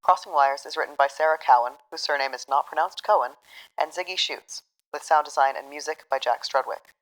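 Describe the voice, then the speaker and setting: A woman's voice: low-pitched, fairly quick, poor audio. A woman announcer giving a scripted read of podcast credits.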